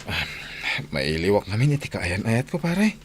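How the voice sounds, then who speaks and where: Casting: earnest, patient young Ilocano man in radio drama, lowish swinging pitch, slight background noise. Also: very animated, a little slow. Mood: casual. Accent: Northern Philippine Ilocano. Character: hardworking, patient young man Saldy, away from his sweetheart.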